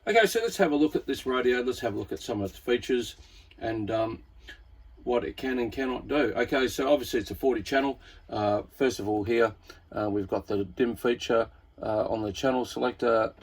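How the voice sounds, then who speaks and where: A man's voice: mid-range voice, brisk, husky. An Australian man presenting a casual hobbyist product walkthrough in a laid-back, informal, slightly hesitant way.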